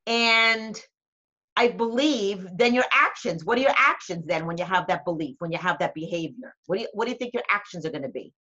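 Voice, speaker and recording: A woman's voice: medium pitch, lively intonation, brisk, sharp tone, muffled recording. A woman motivational speaker coaching someone in conversation, asking pointed questions and repeating key phrases for emphasis.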